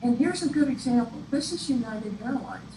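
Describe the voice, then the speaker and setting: A woman presenter giving an instructional presentation in a deliberate, explanatory way. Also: a little high, expressive. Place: echoey room, picked up from afar. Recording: echoey, distant room recording with clear noise. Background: clear room noise.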